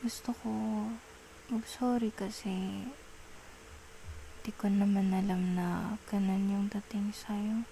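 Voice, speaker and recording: A woman acting as a young Filipino girlfriend in an ASMR roleplay, apologetic and pleading. Her voice is soft and mellow, and she talks slowly and hesitantly, coaxing her partner. A faint noise sits behind the intimate recording. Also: mid-range voice, fairly even intonation, low-quality recording. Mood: apologetic, tender.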